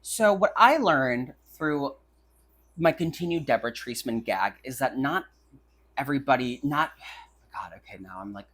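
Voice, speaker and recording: A woman's voice: a bass voice, animated, normal speed, fairly bright tone. A woman hosting a casual chat podcast, wry and gossipy, with a stammer that makes her restart words. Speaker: podcast host.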